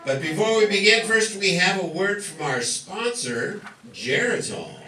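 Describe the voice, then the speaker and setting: A man's voice: high-pitched, expressive, a little slow, pushed and bright. A man announcing a live show as master of ceremonies, jovial and joking. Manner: joking, chuckling. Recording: roomy distant stage recording, music behind.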